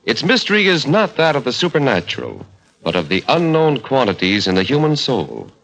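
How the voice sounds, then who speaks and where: An actor playing an ominous, portentous narrator introducing a 1940s radio horror show. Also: male voice, mid-range voice, wildly swooping pitch, brisk, gravelly, heavily acted, poor audio. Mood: grave, dramatic. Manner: portentous, dramatic. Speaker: announcer. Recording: vintage radio, loud musical backing.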